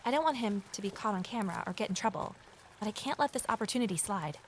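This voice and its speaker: A woman's voice: mid-range voice, animated, fast, a little hiss or hum, lightly acted. A woman playing an earnest, uneasy amateur investigator, confiding and intent as she narrates an audio drama. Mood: confiding, tense. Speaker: narrator and lead character.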